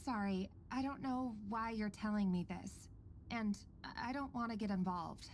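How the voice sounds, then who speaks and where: A woman playing a reluctant, unsettled young bride-to-be in a video game, guarded and halting. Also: mid-range voice, slight background noise, lightly acted. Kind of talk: video game character acting.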